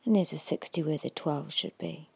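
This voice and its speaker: A woman giving an ASMR guided hypnosis in a hushed, breathy whisper, slowly and soothingly instructing with long pauses. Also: low-pitched, no echo. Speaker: hypnotist guiding a meditation.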